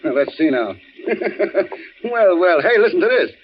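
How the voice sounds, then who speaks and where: A man's voice: sits high, very animated, measured pace. An actor playing an amused, chatty male party guest in 1940s radio style. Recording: muffled, noisy old radio recording.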